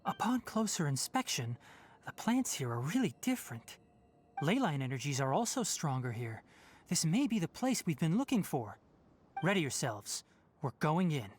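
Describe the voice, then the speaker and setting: A man voicing a calm, principled young male forest ranger and scholar in a video game, speaking in a measured, thoughtful way. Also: on the high side, a little hiss or hum. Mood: commanding.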